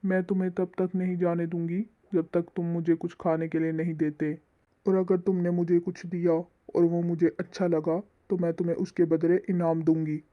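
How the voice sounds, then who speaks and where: A woman's voice: on the low side, little pitch movement, moderate pace, pitched below the performer's own voice, lightly acted. A woman voicing a menacing, hungry witch who haunts a forest and makes commanding demands in a storybook cartoon. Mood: demanding. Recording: muffled, phone-like lo-fi sound.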